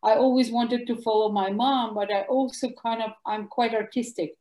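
A woman with a Russian accent, a guest doctor answering interview questions in an animated, emphatic way. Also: on the high side, moderate pace. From former Soviet Union. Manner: animated, emphatic, bursts of louder words. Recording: echoey room.

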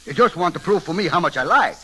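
An actor playing a chatty, excitable immigrant barber with an Italian accent and broken English, in old-time radio comedy style. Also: male voice, a little high, quick pace, husky, exaggerated voice, low-quality recording. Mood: friendly, excitable.

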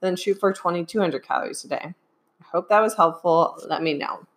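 Young adult woman, fitness coach, slightly low voice, hoarse from a sinus infection, checks in with "okay?" all the time. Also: fairly quick. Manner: keeps asking "okay?". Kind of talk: live-streamed advice talk.

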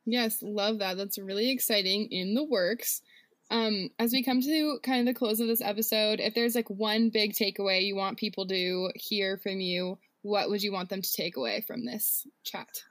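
A woman's voice: mid-range voice, steady tone, normal speed, a bit bright, dry recording. A woman co-hosting a casual podcast, upbeat, playful and affirming toward her guest. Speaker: podcast co-host.

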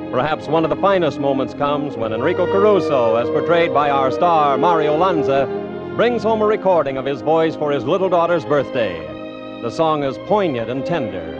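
A man with an American accent announcing in a scripted, polished and measured 1950s radio style. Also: on the high side, expressive, loud music behind the voice. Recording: dull, band-limited old radio recording.

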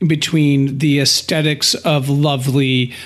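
A man co-hosting a podcast, discussing analytically in a deliberate, slightly formal conversational way. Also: mid-range voice, level tone. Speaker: podcast co-host.

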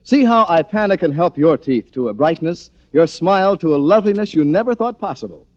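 A male announcer reads a 1940s radio commercial in a polished, direct way. His voice is slightly high and very animated. The recording is dull and dated. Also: fairly quick. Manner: polished, direct address to listeners.

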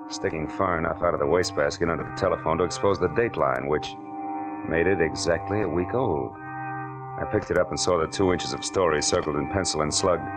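Male actor as hard-boiled private eye, low American voice, sardonic, clipped, slangy, old radio drama, music behind. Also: lightly acted. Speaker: lead actor and narrator. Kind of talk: 1940s radio detective drama acting and narration.

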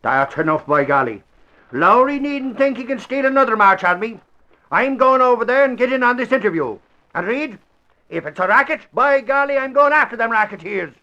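An excitable Irishman with a high, sharp voice, blustering through folksy exclamations. He is an eager but none too clever sidekick in a 1940s radio adventure. The recording is old and muffled. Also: moderate pace, heavily acted. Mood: indignant.

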